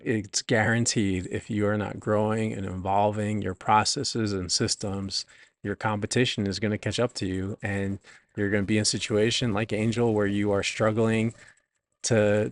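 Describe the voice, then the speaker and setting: A man being interviewed as an author and business coach, talking conversationally in a halting, reflective, explanatory way. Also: lowish voice, little pitch movement, no echo.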